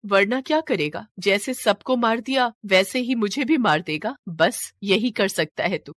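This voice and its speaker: A woman's voice: mid-range voice, a little slow, a bit bright, dry recording. A woman voicing a devout, proud serpent queen who pleads and defies with dramatic emotion in a fantasy story. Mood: defiant. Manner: impassioned, dramatic pleading and defiance.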